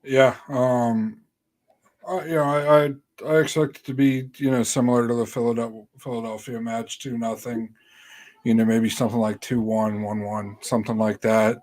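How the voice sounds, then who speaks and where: A man co-hosting a casual podcast talks in a flat, even tone. He sounds tentative and leans on fillers. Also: medium pitch, normal speed. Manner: hesitant, tentative.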